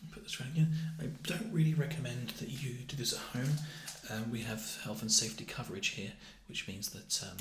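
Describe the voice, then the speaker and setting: A soft-spoken British man in an ASMR roleplay as a clerk, talking haltingly and a little awkwardly, often dropping close to a whisper. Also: medium pitch, fairly even intonation, reverberant, slight background noise. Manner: hesitant, gently awkward, halting delivery. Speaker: ASMR roleplayer playing a festive assessment clerk. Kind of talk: ASMR roleplay, improvised personal-attention chat.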